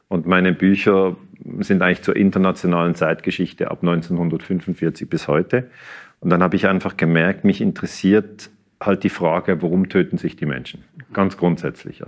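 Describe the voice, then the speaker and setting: Deep-voiced man, measured and explanatory. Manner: measured, explanatory, with frequent restarts and hesitations. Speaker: historian and interview guest. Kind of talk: interview answers.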